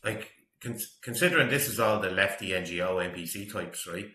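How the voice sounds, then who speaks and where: Middle-aged guy doing opinionated reaction commentary, bright forceful voice, roomy echo. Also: sits low, brisk. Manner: opinionated, disapproving. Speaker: reaction video host. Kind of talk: casual off-the-cuff commentary. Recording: roomy, echoey sound.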